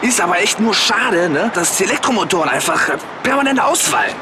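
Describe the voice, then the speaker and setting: An actor playing a chatty, earnest local citizen giving a colloquial street interview in a radio play. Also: male voice, high voice, big pitch swings, fast, music in the background. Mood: appreciative, grumbling. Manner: colloquial, tag questions, hesitant. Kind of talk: vox pop in a radio play. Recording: outdoor street interview with loud background.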